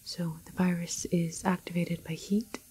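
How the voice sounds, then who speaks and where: Slow, whispering woman, ASMR doctor. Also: on the low side, level tone, slightly rough. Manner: hesitant, halting, gentle clinical explaining. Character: calm, apologetic female doctor in a quarantine facility. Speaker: ASMR performer playing a doctor. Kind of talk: ASMR roleplay, soft whispering and hushed talk.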